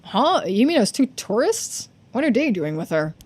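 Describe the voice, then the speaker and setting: A woman's voice: fairly high pitch, sing-song, normal speed, a little mellow, husky. A woman voicing an earnest, anxious young man, the protagonist of a video game, in a conversational, hesitant way. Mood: questioning. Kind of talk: video game dialogue read-aloud.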